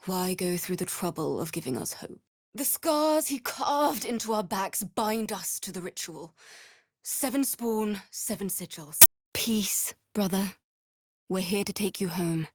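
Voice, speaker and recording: A woman's voice: mid-range voice, expressive, unhurried, breathy edge, dry recording, studio quality. A woman playing a grave, weary vampire servant in a fantasy game, solemn and dramatic in delivery. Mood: stern, resigned. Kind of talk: scripted fantasy game acting.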